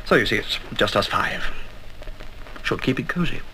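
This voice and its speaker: An actor playing a courteous, commanding colonel in a 1960s radio drama, speaking in brisk, formal briefings. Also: male voice, mid-range voice, lively intonation, lightly acted. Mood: brisk, businesslike. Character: genteel, commanding older intelligence colonel, male, dryly courteous. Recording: faintly noisy 1960s flexidisc radio recording.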